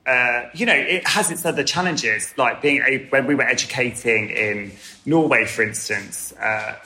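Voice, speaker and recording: A man from England, a guest telling animated stories about teaching abroad. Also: medium pitch, moderate pace, low-quality recording. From Southern England. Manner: animated storytelling with fillers. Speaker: guest, hair colour educator. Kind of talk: conversational interview.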